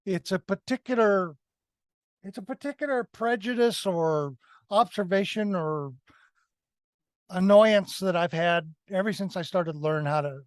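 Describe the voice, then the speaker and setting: A man's voice: sits high, measured pace, dry recording. A man giving a conversational film critique as a review panelist, emphatic and repeating phrases for effect.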